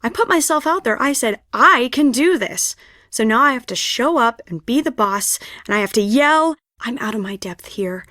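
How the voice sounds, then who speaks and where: A young woman who directs plays, stressed and theatrical, in adventure game dialogue. Her voice sits fairly high and is recorded very dry. She speaks expressively, as if confiding in the listener. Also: lively intonation, moderate pace, bright, forceful tone, no room sound. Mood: confiding, troubled. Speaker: video game voice actor.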